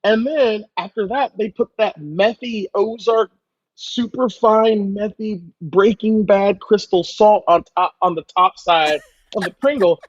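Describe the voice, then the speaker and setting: A man's voice: high voice, unhurried, dry recording, muffled recording. A man chatting casually as a guest chef, with a joking, self-deprecating manner. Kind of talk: casual chat.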